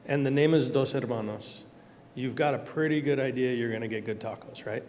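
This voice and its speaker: A man's voice: mid-range voice, fairly quick, darkish tone, long reverb tail, slight background noise. A man on a discussion panel, talking casually and telling anecdotes, laughing as he goes. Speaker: panelist, kitchen operator. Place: event hall.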